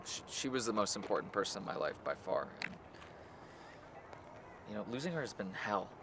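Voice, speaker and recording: A man acting as a dry, teasing, heartbroken guy in a naturalistic comedy, talking in halting conversational phrases. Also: lowish voice, big pitch swings. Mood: sulky. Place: outdoors in a park. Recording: noisy outdoor ambience, traffic hum.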